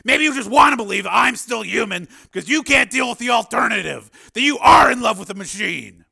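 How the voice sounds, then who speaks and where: Male actor as tormented cyborg, tough, self-doubting, very gravelly, forceful bright tone, audiobook dialogue. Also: extremely high pitch, animated, fairly quick, voice pushed up, exaggerated voice. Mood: anguished doubt.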